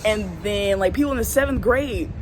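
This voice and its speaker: A woman's voice: medium pitch, lively intonation, moderate pace. A young woman casually telling a funny childhood story on her vlog, laughing and acting out voices. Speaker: vlogger telling personal stories. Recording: clear background noise, vehicle ambience.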